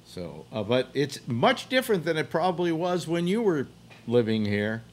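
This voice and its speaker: Older man with lively, swooping pitch. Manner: halting, repeats and stammers, teasing. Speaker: talk show host. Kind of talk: rambling casual call-in chat. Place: home room with bookshelves, indoors.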